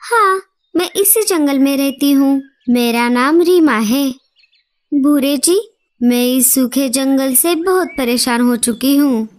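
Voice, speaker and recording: Cartoon crow, female, woman performer: high pitch, slow delivery, polite earnest newcomer. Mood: curious, earnest. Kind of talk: Hindi cartoon story dialogue.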